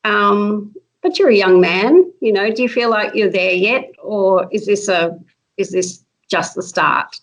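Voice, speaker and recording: A woman's voice: mid-range voice, unhurried, rough recording. A woman hosting an interview, asking questions in a friendly, chatty way with fillers. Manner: friendly, laughs often, fillers. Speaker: host. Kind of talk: casual interview chat.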